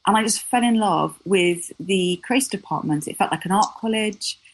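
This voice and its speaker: A woman's voice: mid-range voice, normal speed, poor audio. A woman, a guest telling her career story candidly in a casual interview. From south of England. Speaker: guest, copywriter.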